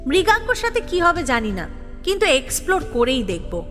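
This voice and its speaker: Actress as scheming lovestruck young woman, audio drama narrator. High voice, reverberant, exasperated and confiding. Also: very animated, normal speed, fairly bright tone. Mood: brooding, resolute. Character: scheming, proud, restless young woman in love, narrating her story. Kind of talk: dramatic first-person audio story narration. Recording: long reverb, music behind. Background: music.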